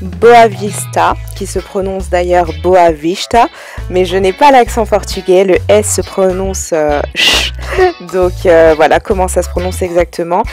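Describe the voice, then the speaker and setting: A woman's voice: on the low side, unhurried, faint music behind. Chatty French woman narrating a travel vlog. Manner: relaxed, chatty, frequent "euh" fillers. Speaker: travel vlogger.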